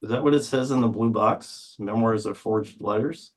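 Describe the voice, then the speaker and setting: A man's voice: lowish voice, normal speed. A man taking part in a quiz as a contestant, answering informally.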